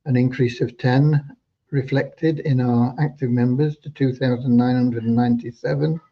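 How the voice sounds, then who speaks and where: A slightly hoarse man hosting an informal livestream update for members, talking in a rambling, halting way with hesitant repeats. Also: mid-range voice, steady tone. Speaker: host giving business updates to members. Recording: dull, lo-fi online audio.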